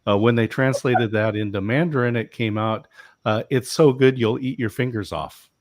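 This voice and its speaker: A man co-hosting a talk show over a video call, telling a story in a relaxed, slow way with fillers. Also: mid-range voice. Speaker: show host. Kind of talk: casual interview chat.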